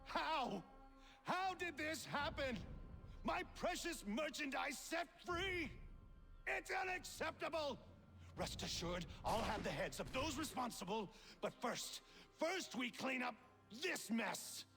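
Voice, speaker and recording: A pompous slave-trading commander in a game cutscene, enraged and imperious. His voice is extremely high and piercingly bright, with sweeping theatrical swings, ringing in a long reverberant hall. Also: big pitch swings, takes it slowly, creaky, faint music behind, full character voice. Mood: outraged. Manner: pompous, theatrical, ornate orders. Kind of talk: video game cutscene acting.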